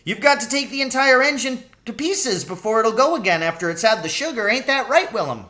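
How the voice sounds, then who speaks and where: Man putting on a high-pitched old country cabbie. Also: expressive, brisk, sharp tone, heavily acted. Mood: crafty. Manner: rustic, colloquial, exclamatory. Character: chuckling old country taxi driver, sly poacher.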